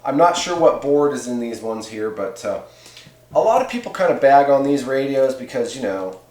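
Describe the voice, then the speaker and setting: A man's voice: medium pitch, big pitch swings, moderate pace, a little mellow. A man giving a casual, rambling, off-the-cuff product review in a laid-back, colloquial way. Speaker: host of CB radio review videos. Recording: echoey room sound.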